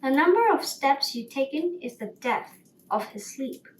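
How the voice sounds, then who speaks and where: A woman's voice: sits high, animated, unhurried. A woman voicing a self-assured male sparrow, a pompous know-it-all who explains things in a bedtime story. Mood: explaining, self-assured. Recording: roomy, distant mic, faint noise.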